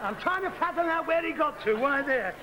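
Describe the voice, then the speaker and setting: A man's voice: very high-pitched, expressive, brisk, reverberant, a little hiss or hum, full character voice, poor audio. A man on stage playing a bustling comic pantomime dame, chatting to the audience. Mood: flustered. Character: brash comic pantomime dame, middle-aged woman. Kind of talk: live stage comedy. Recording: archive stage recording with loud music.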